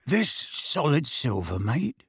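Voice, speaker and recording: A man's voice: medium pitch, very animated, takes it slowly, gravelly, husky, no room sound, studio quality, full character voice. A man voicing a shady, mournful petty crook who mutters and wheedles in an audiobook. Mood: wheedling, shifty. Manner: muttering, pleading, colloquial.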